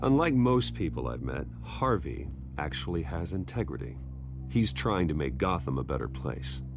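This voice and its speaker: A man's voice: deep voice, sing-song, moderate pace, gravelly, over music, exaggerated voice. A man playing a guarded, wealthy socialite in a video game, terse and controlled with a dry wit. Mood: cool, firm. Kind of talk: dramatic video game acting.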